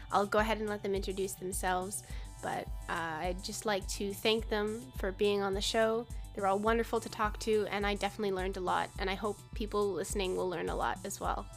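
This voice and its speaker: A woman hosting a roundtable podcast, introducing her guests in a friendly way. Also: mid-range voice. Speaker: host.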